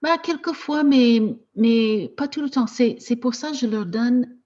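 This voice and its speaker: A woman teaching French as a second language, talking casually with slow, hesitant speech and frequent restarts. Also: a little high, a little mellow, muffled recording. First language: not French. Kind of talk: casual discussion in a video meeting.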